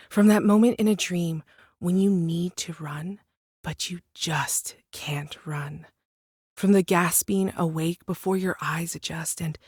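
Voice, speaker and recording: An enigmatic woman from a monstrous world. Her voice is on the low side and a little breathy, recorded dry. She unspools her tale in a hushed, lyrical, gently teasing way, as in an audio drama. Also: dry recording. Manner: measured, lyrical, dreamy. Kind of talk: audio drama acting, hushed bedtime storytelling.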